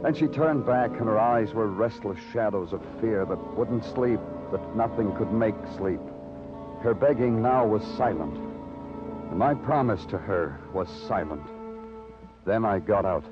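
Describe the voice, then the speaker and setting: Man acting a brooding noir radio detective, low-fidelity. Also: natural voice. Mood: brooding, poetic. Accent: American. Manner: terse, somber, deliberate. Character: weary, brooding male big-city police detective. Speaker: narrator and lead detective. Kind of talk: old-time radio noir acting, poetic narration. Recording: dull vintage radio recording, loud music behind.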